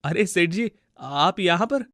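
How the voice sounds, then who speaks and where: A man voicing a troubled, poor farmer and gentle father in a cartoon story, with an earnest, pleading manner. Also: high-pitched, animated, slow pace, no room sound, clean studio sound, full character voice.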